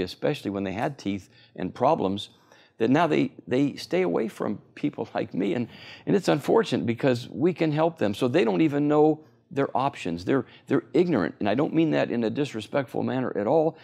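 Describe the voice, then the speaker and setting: A man's voice: mid-range voice, animated, a little fast, gravelly, a little mellow. A man in his sixties, an oral surgeon, being interviewed on a TV set, explaining eagerly with many fillers. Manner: enthusiastic, explanatory, frequent fillers. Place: TV studio.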